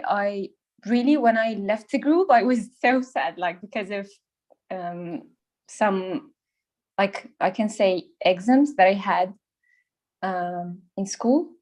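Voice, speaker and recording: A woman's voice: mid-range voice, a little mellow. Hesitant young woman learning English. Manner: hesitant, many fillers and restarts, learner's English. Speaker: language learner in a discussion group. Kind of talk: online casual chat.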